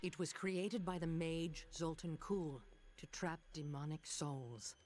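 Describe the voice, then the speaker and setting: A woman voicing a mysterious middle-aged witch in a fantasy video game, with an ominous, deliberate manner. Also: low-pitched, a little mellow. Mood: urgent, commanding. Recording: music behind.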